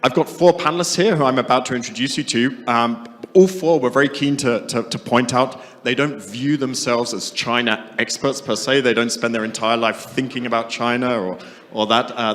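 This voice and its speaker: A man's voice: mid-range voice, fairly bright tone. Man moderating in a reverberant hall. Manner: hesitant, many fillers and restarts. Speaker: panel moderator. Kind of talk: conference panel moderation. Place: conference hall. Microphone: handheld microphone.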